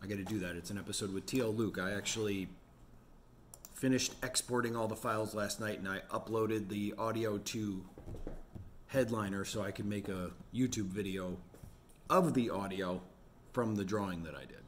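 A man's voice: fairly low pitch, steady tone, normal speed, slight background noise. A man in his forties chatting casually in a vlog about his day at home. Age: 40s. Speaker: vlogger running an online resale business. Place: home office with bookshelves.

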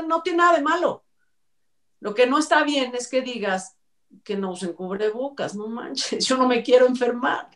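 High-voiced Mexican woman, distant mic. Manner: colloquial, emphatic, admonishing. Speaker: talk show panelist. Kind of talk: casual opinionated panel chat. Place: bright living room at home. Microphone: computer mic on video call. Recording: distant mic on video call.

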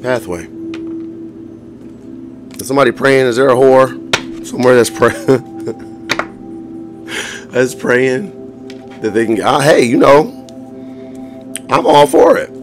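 A hoarse man giving a casual tarot reading to viewers, blunt and profane, often laughing as he talks. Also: medium pitch, takes it slowly, long pauses. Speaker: tarot reader. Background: music.

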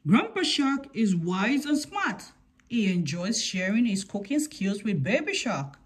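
A woman's voice: medium pitch, big pitch swings, a little slow, slightly rough. A woman reading a picture book aloud to children as a scripted story-time narration.

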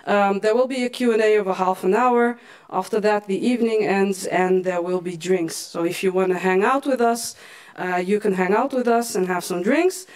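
A woman's voice: medium pitch, moderate pace, darkish tone. A woman, a Tamazight speaker, moderating a panel at a podium microphone, with frequent fillers. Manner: hesitant, with many fillers and restarts. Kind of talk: introducing panel speakers at a public event. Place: lecture hall, at a podium.

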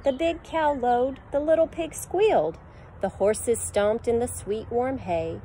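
A woman's voice: a little high, unhurried, dark tone, slight background noise. A middle-aged woman, a preschool teacher, reading a picture book to children in a sing-song voice, sometimes making animal sounds, recorded outdoors on a phone camera. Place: outdoor deck in a backyard. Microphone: phone camera at arm's length.

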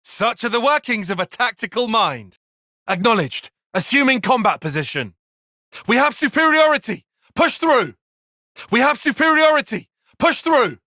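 A man's voice: very high voice, big pitch swings, moderate pace, bright, forceful tone, no room sound, clean studio sound, heavily acted. An actor voicing an earnest, calculating and proud young male tactician in a video game, speaking formally and precisely with intensity. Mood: confident. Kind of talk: video game battle voice lines.

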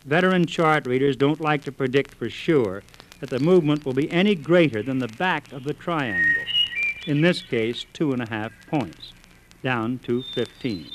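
A man's voice: medium pitch, lively intonation, normal speed, low-quality recording. A man giving instructional narration on an old phonograph record. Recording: old phonograph record, faint noise, clicks.

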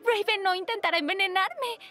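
A woman with a Latin American Spanish accent playing a bubbly, pampered teenage princess in an animated dub, gushing and sweet. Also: very high voice, brisk. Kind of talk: animated series dub acting. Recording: music behind.

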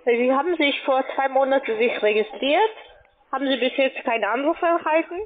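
High-pitched woman on the phone. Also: moderate pace. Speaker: call centre sales agent. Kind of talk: unscripted sales phone call. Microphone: telephone. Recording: muffled tinny phone line.

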